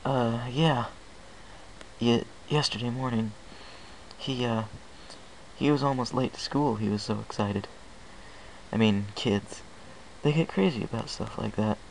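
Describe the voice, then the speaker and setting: A man voicing an awkward, uneasy pony stallion and young father in a halting storybook reading. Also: medium pitch, gravelly, a little hiss or hum, pitched above the performer's own voice, low-quality recording. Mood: nervous, awkward. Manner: hesitant, halting. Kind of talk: amateur audiobook character voice.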